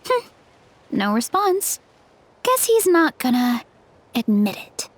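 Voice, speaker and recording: A woman voicing a friendly, naive young female warrior in a video game, gentle and a little hesitant. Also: sits high, big pitch swings, slow, dry recording, full character voice. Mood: curious.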